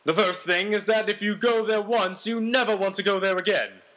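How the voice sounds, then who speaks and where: A man's voice: high-pitched, steady tone, moderate pace, fairly bright tone, voice pushed up. A man voicing a brash, self-important ex-convict quarterback telling his own story with melodramatic, boastful delivery. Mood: earnest, preachy.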